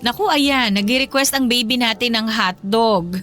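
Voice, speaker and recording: A woman's voice: a little high, normal speed, fairly bright tone, soft background music, lightly acted. An actress playing a loving, grateful young mother and wife in a Filipino radio drama, tender and emotional. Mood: warm, grateful.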